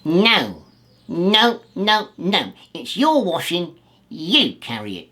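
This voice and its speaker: A man's voice: high-pitched, wildly swooping pitch, sharp tone, voice pushed up, exaggerated voice. A man voicing a mean, miserly character from a children's storybook, whining and stammering as he goes. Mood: grumpy, dismissive.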